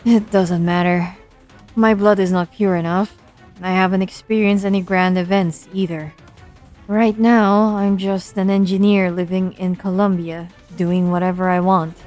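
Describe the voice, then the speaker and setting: A woman voicing an aloof young banshee engineer, measured and quietly solemn, in a fan-dubbed game drama. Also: medium pitch, fairly even intonation, close to an everyday voice. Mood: detached, calm. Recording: background music under the voice.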